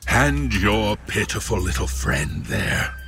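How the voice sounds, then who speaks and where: A man's voice: fairly low pitch, slow, over music. A man voicing an ancient, menacing Halloween spirit in a horror audio drama, creepy, pompous and contemptuous of mortals, with archaic phrasing. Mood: contemptuous, imperious.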